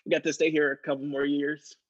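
A man as a deadpan, jaded woman asylum lifer, slightly muffled. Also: fairly high pitch, fast, natural voice. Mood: deadpan, blunt. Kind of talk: improvised comedy.

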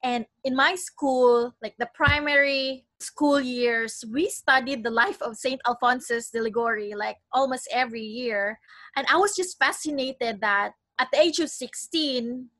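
A woman's voice: high voice, unhurried, fairly bright tone, no room sound. A young adult Filipino woman with a Filipino accent, chatting cheerfully as an interview guest, an attorney. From Philippines. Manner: chatty and cheerful, with light laughs. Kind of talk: casual interview.

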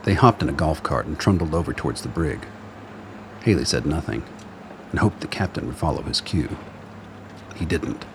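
A man with a gravelly voice reading scripted dramatic narration in a measured, brooding storytelling manner. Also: rumbling low, animated, background noise.

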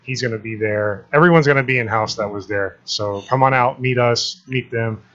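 A man promoting his film on a podcast, relaxed and full of fillers. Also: medium pitch, normal speed, muffled recording. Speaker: filmmaker guest. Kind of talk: casual promotion.

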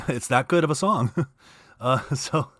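A man's voice: mid-range voice, animated, a little slow, fairly bright tone, no room sound, studio quality. A man from Southern California co-hosting a radio show, easygoing and full of hesitations. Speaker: radio co-host. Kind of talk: casual radio chat.

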